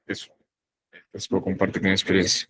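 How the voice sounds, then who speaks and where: A young man from northern Colombia with a Colombian accent, speaking reflectively and haltingly on a discussion panel, with many pauses and restarts. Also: low-pitched, completely flat delivery, bright, forceful tone, a little hiss or hum. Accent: Colombian Spanish.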